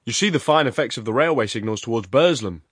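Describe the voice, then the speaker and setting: A man voicing a forceful, loquacious ironworks man in a storybook reading, with emphatic, abrupt delivery and an undertone of menace. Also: medium pitch, expressive, quick pace, husky, no room sound, clean studio sound. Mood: excited, boastful. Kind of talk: dramatized storybook narration.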